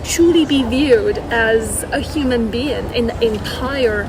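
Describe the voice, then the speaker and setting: Rambling woman over loud airport noise. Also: on the high side, slow pace. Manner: rambling, many fillers and restarts. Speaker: vlogger. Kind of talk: rambling personal vlog. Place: busy airport.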